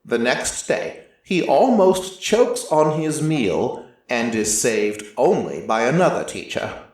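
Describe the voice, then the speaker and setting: A man narrating dramatic first-person fiction in a deliberate, theatrical storytelling manner. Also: mid-range voice, sing-song, darkish tone. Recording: very echoey, distant from mic.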